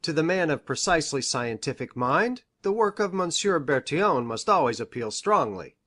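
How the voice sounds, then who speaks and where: A woman's voice: sits low, very animated, normal speed, a bit bright, pitched below the performer's own voice, exaggerated voice. A woman voicing an eager, eccentric male country doctor in an audiobook, speaking with formal, precise, effusive earnestness. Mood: earnest, formal. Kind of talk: audiobook character voice.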